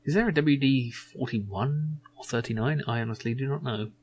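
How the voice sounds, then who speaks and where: Brief: male gaming host, mid-pitched and rough-edged, casual playthrough commentary. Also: moderate pace, a little mellow. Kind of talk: video game playthrough with self-voiced dialogue.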